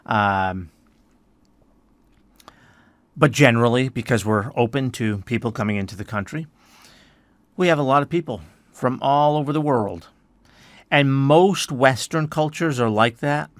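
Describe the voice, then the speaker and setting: A man from the United States hosting an educational podcast, explaining things in a relaxed way with many "I mean"s and restarts. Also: mid-range voice, measured pace, pauses often, dry recording. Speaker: podcast host.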